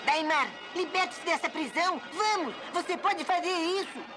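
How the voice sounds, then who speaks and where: A woman voicing a tiny, loyal, childlike wizard creature in a dubbed cartoon, with a squeaky voice. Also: moderate pace, over music. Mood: pleading. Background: dramatic cartoon score.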